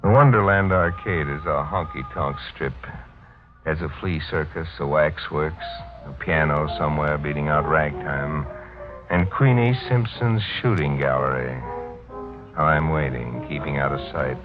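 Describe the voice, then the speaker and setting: An actor playing a brooding, haunted killer who has lost himself, in a hard-boiled 1940s radio mystery style. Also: male voice, extremely low pitch, lively intonation, measured pace. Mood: tense narration. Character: haunted amnesiac male killer and racket boss, brooding, unhinged. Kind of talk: 1940s radio mystery acting with first-person narration. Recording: tinny 1940s radio, music behind.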